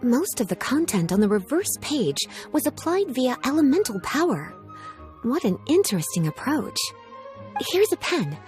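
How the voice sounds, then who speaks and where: Teasing, elegant, high-voiced librarian. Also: female voice, very animated, moderate pace, darkish tone, soft background music. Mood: composed, thoughtful. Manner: teasing, genteel, composed. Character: teasing, elegant, unhurried adult woman librarian, doting and sly. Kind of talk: video game character acting.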